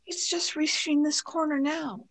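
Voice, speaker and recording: Woman as young girl companion for radio drama: perky, teasing, high voice. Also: fairly even intonation, measured pace. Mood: alarmed, curious. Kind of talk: radio play acting.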